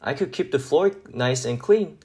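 A man's voice: medium pitch, moderate pace, lightly acted. Guy doing an eager lil stray puppy from a picture book, pleading, super up and down. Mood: eager, hopeful. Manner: pleading, expressive. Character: eager, hopeful homeless puppy pleading for a home. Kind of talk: children's picture book read-aloud.

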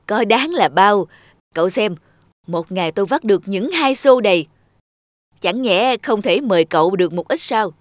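A woman's voice: medium pitch, animated, moderate pace, dry recording, clean studio sound, lightly acted. A woman voicing a kindly, proud old farm woman in a cartoon, with a hearty, generous manner. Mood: pleased, generous. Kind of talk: scripted cartoon dubbing.

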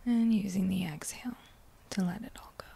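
A woman guiding a meditation in a breathy whisper, gentle and soothing, with long unhurried pauses between phrases. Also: mid-range voice. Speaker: meditation guide. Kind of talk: guided meditation, whispered soft-spoken.

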